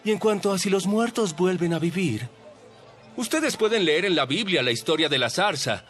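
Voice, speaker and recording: An authoritative religious teacher, acted by a man, instructs his followers in an audio Bible drama. The male voice sits slightly high and is emphatic and didactic, over faint music. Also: expressive, moderate pace, fairly bright tone. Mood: firm, correcting.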